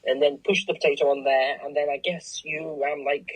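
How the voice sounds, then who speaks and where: Woman, lowish pitch, very husky breathy tone, hesitant wry delivery, casual co-host chat, dry sound. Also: little pitch movement, a little fast, no room sound, poor audio. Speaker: co-host of a craft show.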